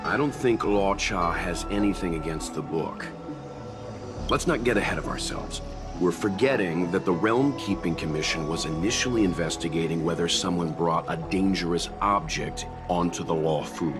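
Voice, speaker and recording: An actor playing a composed, scholarly mentor in a video game, measured, courteous and analytical. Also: male voice, low voice, close to an everyday voice. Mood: calm, reasoning. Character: composed, scholarly adult man, calm reasoning mentor. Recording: music under the voice.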